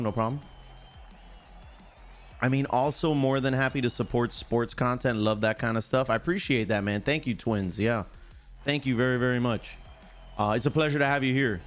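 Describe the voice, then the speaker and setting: A man's voice: mid-range voice, brisk, soft background music. A man hosting a live sports stream, chatting casually in chummy slang, greeting viewers by name and repeating himself.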